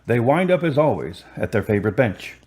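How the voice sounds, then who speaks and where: A man's voice: medium pitch, very animated, brisk, a little mellow. A man giving scripted narration as the narrator and announcer of a radio drama. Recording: music behind the narration. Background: music.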